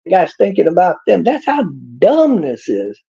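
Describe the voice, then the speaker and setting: Texan man, folksy preachy rambler, gravelly and dark-toned. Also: sits high, big pitch swings, normal speed. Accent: Texas. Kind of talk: rambling monologue. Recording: dull lo-fi clip.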